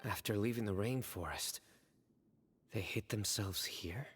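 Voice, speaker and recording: A man voicing a serious, reserved traveller in a video game, subdued and measured. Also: fairly low pitch, a bit bright, husky. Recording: long reverb.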